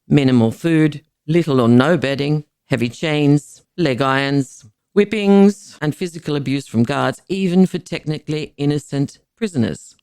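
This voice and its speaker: Low-creak woman narrating history. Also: a little mellow, dry recording. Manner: measured, formal, deliberate phrasing. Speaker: podcast narrator. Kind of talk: scripted historical documentary narration.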